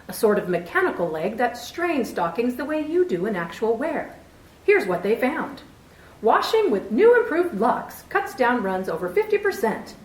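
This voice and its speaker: A woman delivers an old radio ad in a chirpy, persuasive voice that leaps around in pitch. She sounds distant from the mic. The vintage broadcast is roomy. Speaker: commercial announcer. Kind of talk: 1940s radio commercial.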